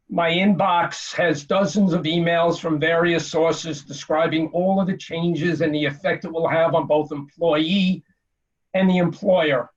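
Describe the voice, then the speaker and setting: A man gives a relaxed webinar talk with a slightly hoarse voice. His voice is fairly high and he sits back from the mic. The audio sounds dull. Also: distant mic. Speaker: webinar speaker. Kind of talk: casual presentation.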